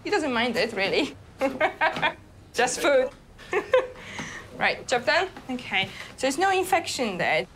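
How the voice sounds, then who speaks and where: A woman's voice: high-pitched, very animated, takes it slowly, noisy background. A young adult woman vet, chatty, explaining an exam step by step in a clinic room.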